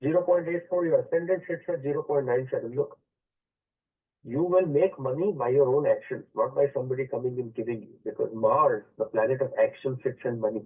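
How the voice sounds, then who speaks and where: A man's voice: mid-range voice, fairly quick, slightly rough. A male radio astrology host taking a caller, explaining the chart and switching between English and Hindi phrases. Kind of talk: call-in radio consultation. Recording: tinny phone-line sound.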